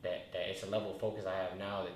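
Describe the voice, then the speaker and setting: A man's voice: sits low, little pitch movement, very fast. A young man in his twenties, a rapper talking through his story in a laid-back, rambling way, with many restarts. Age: 20s. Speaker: rapper, interview guest. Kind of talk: casual reflective interview talk. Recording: very echoey room, faint noise.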